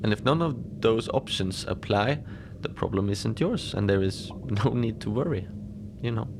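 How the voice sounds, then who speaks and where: Gravelly, unflappable station manager played by a man. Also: fairly low pitch, expressive, moderate pace, noisy background. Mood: mildly worried, unhurried. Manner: casual rambling, wry understatement, small chuckles. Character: unflappable lone male facility manager at an Antarctic station. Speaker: host and narrator of a fiction podcast. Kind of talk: cozy horror audio diary, radio transmission monologue.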